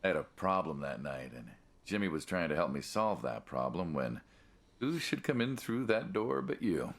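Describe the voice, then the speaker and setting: An actor playing an aging American mob man in a video game, folksy and casual but quietly menacing, probing an old friend. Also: low-pitched, sing-song, moderate pace, heavily acted. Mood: friendly, nostalgic. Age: older. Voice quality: gravelly, husky. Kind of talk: naturalistic video game dialogue.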